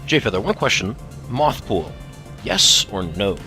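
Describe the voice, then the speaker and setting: A man reading questions as co-host of a fan Q&A in a playful, teasing, animated manner. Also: on the low side, measured pace. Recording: faint music behind the voice.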